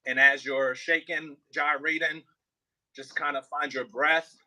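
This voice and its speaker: A man leading a voice warm-up as a coach, explaining casually and haltingly with plenty of filler words. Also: a little high, steady tone, low-quality recording. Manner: informal, halting explanations with many "like" and "kinda" hedges. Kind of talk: casual hands-on coaching over a video call.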